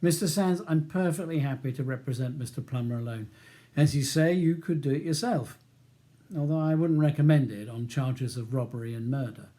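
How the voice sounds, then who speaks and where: Man playing a composed, mellow-voiced barrister. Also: mid-range voice, natural voice. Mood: courteous. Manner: polite, measured, professional. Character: male barrister in his thirties, composed, courteous, persuasive. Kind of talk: audiobook character dialogue.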